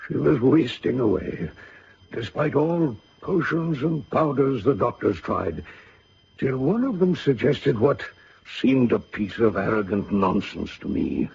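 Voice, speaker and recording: An old general in a radio play, grave and haunted. His gravelly voice moves slowly and swings widely in pitch, on a dull, hissy old recording. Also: lively intonation, a little mellow. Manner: dramatic, deliberate, solemn. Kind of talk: radio drama acting.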